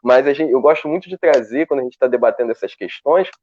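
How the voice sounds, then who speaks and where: A man speaking on a live video call, talking in a lively way with many restarts as he searches for words. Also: mid-range voice, quick pace, dry recording. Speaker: guest researcher. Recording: dull, dry lo-fi call audio.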